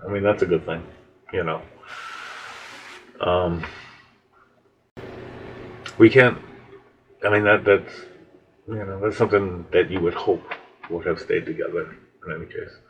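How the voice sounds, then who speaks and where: Low, slow-spoken male storyteller, halting and reflective. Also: fairly even intonation, a bit bright. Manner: halting, rambling, reflective delivery. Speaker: storyteller, podcast host. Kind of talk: improvised, conversational biblical storytelling. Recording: dull lo-fi audio with background noise.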